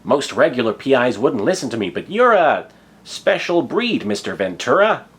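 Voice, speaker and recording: A man's voice: mid-range voice, sing-song, moderate pace, a bit bright, husky. A man voicing an earnest, polite young boy adventurer who explains things patiently in a comic story. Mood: patient, hopeful. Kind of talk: comedic fan fiction reading.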